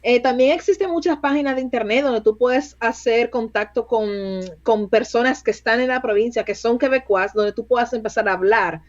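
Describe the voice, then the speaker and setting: A woman speaking as a bilingual recruiter in a casual interview, lively and quick to laugh. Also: a little high, dull audio. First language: Spanish. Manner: lively, laughs often, chatty with many restarts.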